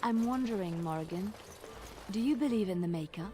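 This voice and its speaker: A woman's voice: medium pitch, expressive, brisk, music in the background, natural voice. A woman voicing an earnest devout woman. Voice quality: gentle, sincere.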